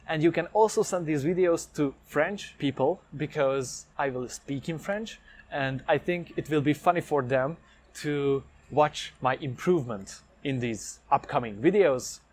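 Upbeat young man with a Hungarian accent. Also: a little high. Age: young adult. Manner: energetic, talks with his hands. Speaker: language-learning vlogger. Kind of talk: upbeat direct-to-camera vlog. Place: indoors against a plain light wall. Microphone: lapel mic, medium camera framing.

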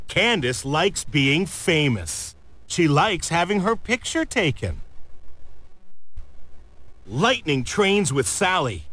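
A man reading a scripted read-along story for young children, expressively and with deliberate, clear enunciation. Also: high voice, bright, forceful tone, no echo. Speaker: storybook narrator.

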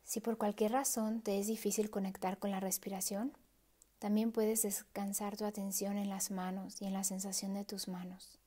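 Soft, mellow woman guiding a meditation. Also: mid-range voice, flat delivery, moderate pace. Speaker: meditation guide. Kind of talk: guided meditation.

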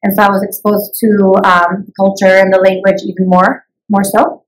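A woman teacher answers interview questions in a middle-pitched voice. She speaks thoughtfully and fills her pauses with soft "um"s. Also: level tone, rough recording. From United States. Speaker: English as a new language teacher.

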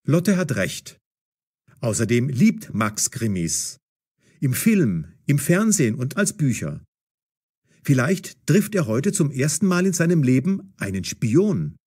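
A male narrator with a husky edge tells a scripted story at a slow pace. His intonation is very lively, and each phrase is deliberately and clearly pronounced. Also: mid-range voice. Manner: deliberate, clearly enunciated, expressive.

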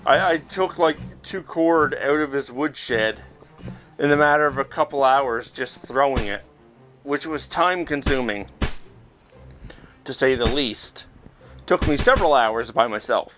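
A man giving casual, excitable commentary as he plays a virtual reality game, reacting aloud as he goes. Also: medium pitch, measured pace, soft background music, low-quality recording. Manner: excitable, reacting aloud while physically playing. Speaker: video game streamer.

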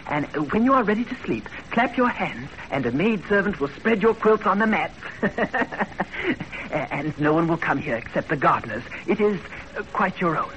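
An actor playing an eager, polite young Japanese host, hesitant and courteous, in 1930s radio drama style. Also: male voice, high-pitched, very animated, normal speed, a bit bright, slight background noise, rough recording. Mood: welcoming. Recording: old 1930s radio recording, music behind.

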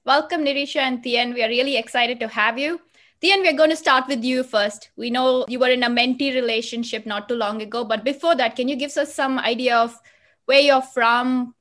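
A woman hosting a podcast, reading a scripted intro and asking guests measured, friendly questions. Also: high voice, steady tone, a bit bright. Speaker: podcast host.

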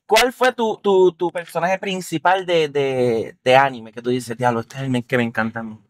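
A man's voice: mid-range voice, moderate pace, no echo. Playful man hosting. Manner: playful, teasing, jokey. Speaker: host. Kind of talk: casual interview. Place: inside a car.

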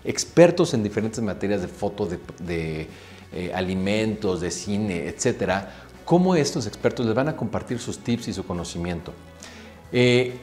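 Low-voiced man giving opening remarks. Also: a little mellow. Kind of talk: opening speech. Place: office room.

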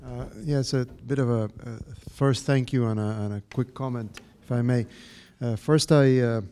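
Argentine man, halting academic question. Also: medium pitch, steady tone, dark tone, slight background noise. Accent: Argentine Spanish. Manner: halting, many fillers and restarts, academic. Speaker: audience member. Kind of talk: question from the floor.

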